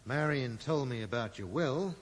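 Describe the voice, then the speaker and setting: An actor playing a dry, sarcastic amateur sleuth who probes and accuses in a 1980s radio drama. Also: male voice, medium pitch, expressive, normal speed, lightly acted. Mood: dry, accusing. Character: sardonic, probing male amateur sleuth confronting an old friend. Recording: echoey, noisy old radio broadcast recording.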